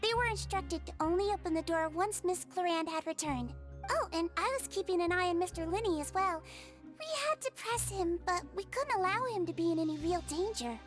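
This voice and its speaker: Very high, sweet little caretaker girl. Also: lively intonation, a little fast. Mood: gentle, caring. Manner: sweet, polite, considerate. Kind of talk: video game voice acting. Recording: game music behind the voice.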